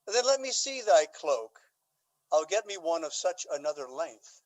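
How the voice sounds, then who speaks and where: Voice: medium pitch, sing-song, unhurried, a little mellow, rough recording. An actor playing an aging, scheming Duke in a Shakespearean radio drama, with a theatrical, courtly and emphatic delivery. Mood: sly, eager. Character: aging, scheming Duke, aggrieved father feigning lovesickness.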